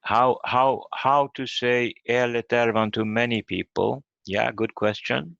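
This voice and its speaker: A man teaching an online language lesson in a halting, hesitant way over a muffled call. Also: mid-range voice, fairly even intonation, gravelly, fairly bright tone, dry recording.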